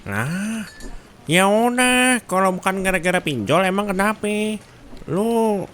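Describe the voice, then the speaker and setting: A man playing a gossipy, excitable male office coworker in a roleplay, with a Jakarta accent and slangy, dramatic, rambling chat. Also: high-pitched, measured pace, slight background noise. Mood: gossipy, teasing.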